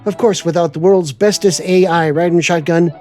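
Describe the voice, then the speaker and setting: A man with a fairly high voice hosts a semi-scripted trucking show. He talks in a playful, folksy way, with soft music playing underneath. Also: steady tone, moderate pace. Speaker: host.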